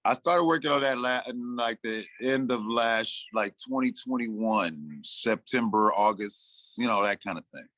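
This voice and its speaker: A man in his sixties, an interview guest, telling stories in a loose, animated way and acting out his own lines. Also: mid-range voice, unhurried, few pauses, no room sound, poor audio. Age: 60s. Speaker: interview guest, rapper and producer. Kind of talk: casual interview talk.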